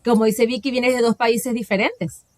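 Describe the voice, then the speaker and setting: A woman with a fairly high voice hosts a podcast in a casual, chatty way. She often trips over repeated words, and the sound is dry, with no room echo. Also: fairly even intonation, moderate pace. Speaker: co-host.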